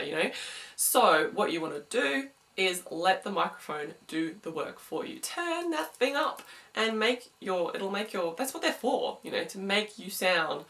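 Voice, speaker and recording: A young woman with a smooth, bright voice talks through singing tips in a relaxed, chatty way. She stands a little back from the microphone, so her voice sounds slightly distant. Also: lowish voice. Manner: chatty, explanatory, with sung demos. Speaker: singing teacher. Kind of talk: vocal lesson to camera.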